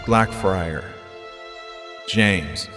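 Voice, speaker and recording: An actor playing a calm, compassionate man consoling a friend in an audio drama, measured and solemn. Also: low-pitched. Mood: concerned. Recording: long reverb, faint music. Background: faint music.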